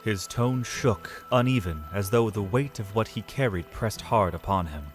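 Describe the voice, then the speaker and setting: A man narrates a dramatic fantasy story in a low voice. He is solemn and measured, setting out phrases with deliberate pauses. Faint music runs underneath.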